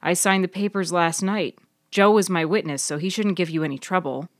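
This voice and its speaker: A woman's voice: on the low side, a little fast, dry recording, studio quality, natural voice. A woman playing a curt, domineering, easily agitated woman in a story, speaking bluntly. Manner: blunt, uncensored.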